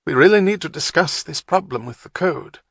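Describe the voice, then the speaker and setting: A man voicing a polite, wry male historian with a plummy English accent and a measured, professorial manner. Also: a little high, animated, creaky, a bit bright, no echo, clean studio sound, voice pushed up, full character voice. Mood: genteel. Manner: measured, genteel, slightly professorial.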